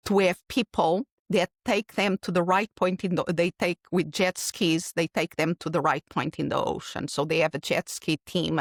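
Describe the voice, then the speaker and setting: A middle-aged woman with a Portuguese accent talks through her ideas in long, earnest runs and sometimes restarts a phrase. Her voice sits a little low. The sound is dry and close, with no room echo. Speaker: keynote speaker guest. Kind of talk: podcast interview answer.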